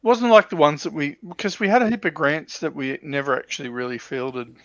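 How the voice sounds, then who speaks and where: An Australian man telling stories in casual chat, with a halting delivery that often doubles back on words. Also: a little high, fairly bright tone, no room sound. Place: livestream video call. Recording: dull, lo-fi voice call audio.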